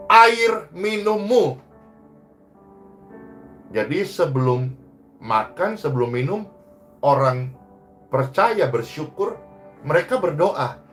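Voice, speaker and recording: A man preaching a sermon as a pastor, exhorting emphatically and moving between loud rises and hushed phrase endings. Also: a little high.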